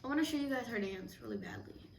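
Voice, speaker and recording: A woman's voice: a little high, expressive, fast talker. A young woman co-hosting a vlog, bantering casually with playful, teasing interjections. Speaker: vlog co-host. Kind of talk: casual banter during a mukbang. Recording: roomy echo, distant mic, some noise.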